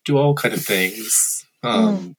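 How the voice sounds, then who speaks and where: Man, low voice, husky, reflective, wry, blunt at times, interview talk. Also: wildly swooping pitch, slow, poor audio. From Korea. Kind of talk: candid conversational interview. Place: lives in Tokyo.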